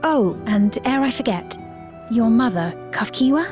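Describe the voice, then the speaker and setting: A woman playing a gracious, courtly queen in a video game, speaking formally and with measured composure. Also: on the high side, animated, over music. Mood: composed, regal. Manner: formal, measured, regal diction. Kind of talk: scripted video game cutscene acting.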